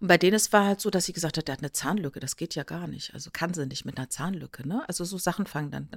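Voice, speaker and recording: Eine Frau mit deutschem Akzent plaudert als Podcast-Co-Moderatorin, erklärt erzählend, spielt Zitate nach und sucht mit kleinen Nachfragen Zustimmung. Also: female voice, fairly low pitch, quick pace, vocal fry, breathy edge, no room sound. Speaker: podcast co-host. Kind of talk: casual podcast chat, explanatory retelling.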